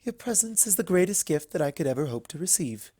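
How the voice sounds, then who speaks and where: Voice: medium pitch, big pitch swings, fast talker, dark tone, slightly rough, no echo, studio quality, pitched above the performer's own voice. A narrator voicing a refined adult female cartoon unicorn who is genteel, weary and strained in politeness. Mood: reading aloud.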